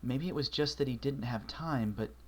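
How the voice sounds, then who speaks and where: A man reading a story aloud, voicing an anxious, bookish young pony mare who talks earnestly and often in a hushed voice. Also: medium pitch, moderate pace, voice pushed up, natural voice. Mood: reflective.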